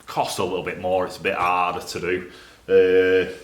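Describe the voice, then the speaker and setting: A man's voice: sits low, monotone, normal speed, a little hiss or hum. A man with a Northern English accent talking casually to camera in a workshop, informal and rambling as he thinks aloud. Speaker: exhaust fabricator and video presenter. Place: indoors in a small cluttered workshop. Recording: roomy, echoey workshop sound.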